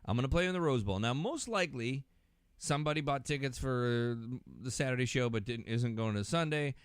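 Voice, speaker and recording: Dude chatting casually on a podcast, smooth clear voice, real dry sound. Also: male voice, medium pitch, expressive, fairly quick, no room sound. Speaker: podcast speaker. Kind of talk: casual conversation about concerts.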